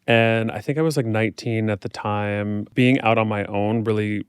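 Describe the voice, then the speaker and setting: Clear-voiced man with a lowish pitch. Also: fairly even intonation, normal speed, no echo, clean studio sound. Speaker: podcast guest, performer. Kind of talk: casual chat, personal storytelling.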